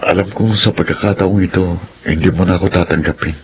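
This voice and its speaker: A man's voice: low-pitched, fairly even intonation, brisk, muffled recording, background noise, natural voice. A man playing an apologetic, earnest boyfriend in a radio drama. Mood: apologetic, pleading. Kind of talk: radio drama acting in Filipino soap.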